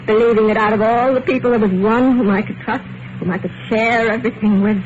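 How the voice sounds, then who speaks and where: Lady playing betrayed wife in old radio mystery, eerily calm n wistful, noisy muffled recording. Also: a little high, close to an everyday voice. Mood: wounded, reproachful. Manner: measured, wistful, dramatic pauses. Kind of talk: old-time radio thriller acting.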